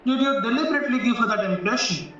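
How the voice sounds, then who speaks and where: A man acts out a probing defence barrister in an audio drama, shrewd, formal and deliberate. His voice is high and forceful. The recording is dull and very echoey, as if far from the mic, with music behind. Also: animated. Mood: measured, shrewd. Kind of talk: stage-style courtroom audio drama acting.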